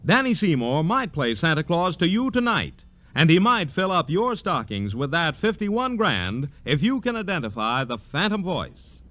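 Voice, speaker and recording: A man's voice: on the high side, big pitch swings, normal speed, bright, forceful tone, no echo. A man announcing a radio program and its promotions in punchy 1950s broadcast style. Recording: vintage radio broadcast with music.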